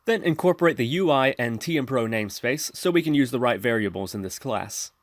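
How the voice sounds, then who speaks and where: A man narrating a software tutorial in an instructional, step-by-step manner. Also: medium pitch, lively intonation, fairly quick, dry recording, studio quality. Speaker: tutorial presenter.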